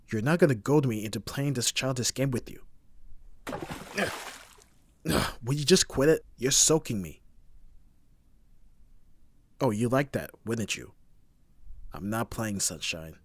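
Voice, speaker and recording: A man playing a gruff, teasing, protective young bodyguard with a husky voice in an ASMR roleplay, sardonic but reassuring. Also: fairly low pitch, full character voice. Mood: exasperated, teasing. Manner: sardonic, abrasive yet reassuring. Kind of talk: ASMR roleplay audio drama.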